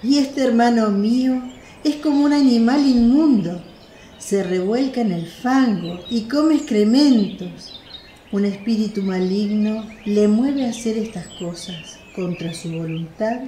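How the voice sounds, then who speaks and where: A woman's voice: medium pitch, slow pace, mellow, reverberant, slight background noise, natural voice. An actress playing a troubled, plaintive woman pleading for her brother in a religious radio drama.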